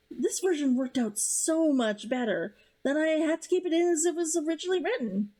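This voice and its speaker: A woman's voice: sits high, darkish tone. A woman author hosting a read-along, chatting casually to viewers about writing in a bubbly, giggly way.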